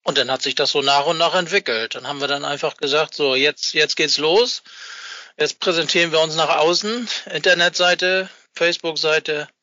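A dull, dry internet-call recording of a man being interviewed. His voice is bright and rather high for a man. He talks casually, in a rambling, colloquial way. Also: no room sound. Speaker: interview guest, fan club founder. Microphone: Skype call.

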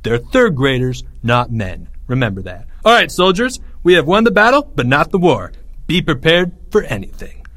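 A man's voice: mid-range voice, very animated, normal speed, fairly bright tone. A man voicing a scornful, bossy schoolboy who commands a playground army and barks orders in an audiobook story. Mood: commanding.

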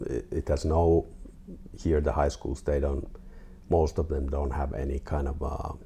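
A man in his forties with a Finnish accent and an extremely deep, mellow voice, over faint noise. He talks casually as an interview guest, in hesitant, halting phrases. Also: little pitch movement. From Finland. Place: artist's studio.

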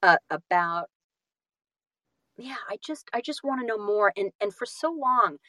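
Casual podcast guest, woman, middle-aged, very animated intonation, self-effacing, repeats phrases, dry sound. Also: mid-range voice, a little slow, dry recording. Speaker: audiobook narrator and guest.

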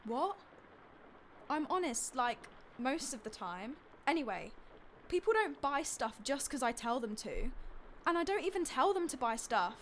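Actress as a high-pitched, defensive teenage girl. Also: sing-song, a little slow, slight background noise. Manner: pleading, defensive, indignant. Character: defensive, upset fifteen-year-old girl beauty vlogger. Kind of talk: audio drama dialogue.

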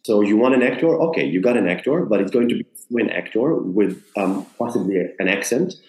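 A man's voice: on the low side, brisk, a little mellow. A man from Israel, an actor, talking earnestly in an interview and often restarting as he searches for words. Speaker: actor, guest. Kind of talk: conversational interview. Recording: roomy echo.

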